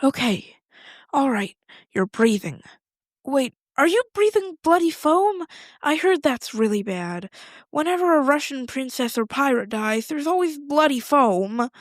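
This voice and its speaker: Woman playing high-voiced, anxious rambling girl Layla. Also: very animated, measured pace, no echo, clean studio sound. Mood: nervous, fussing. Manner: hesitant, rambling, blurting questions to herself. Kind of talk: audio fiction acting, naturalistic.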